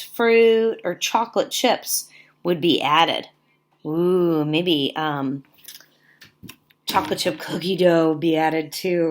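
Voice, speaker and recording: Slow-paced woman teacher reading aloud. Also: on the low side. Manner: deliberate, simple teacherly phrasing for young children. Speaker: teacher reading a book aloud to young children. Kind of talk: read-aloud lesson with explanatory asides.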